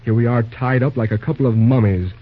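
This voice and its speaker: Through a tinny, narrow old radio recording comes a man playing a husky, exasperated younger captive from a railroad serial. He grumbles in hesitant bursts and exclamations at a middling pitch. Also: fast, lightly acted. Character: frustrated younger railroad superintendent, kidnapped and tied up.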